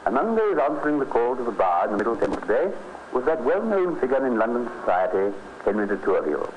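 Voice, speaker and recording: An actor playing a formal, pompous Victorian newspaper announcer declaiming notices in a vintage radio drama. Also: male voice, medium pitch, sing-song, quick pace, close to an everyday voice. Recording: muffled, noisy old radio recording.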